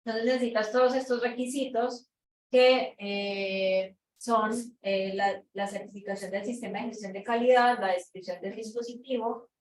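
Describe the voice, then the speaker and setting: A woman's voice: mid-range voice, steady tone, normal speed. Female conference presenter, Colombian accent, explanatory delivery, picked up from a distance with some background noise. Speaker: conference speaker, researcher. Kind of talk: lecture presentation to an audience. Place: lecture room. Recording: echoey room, distant mic, background noise.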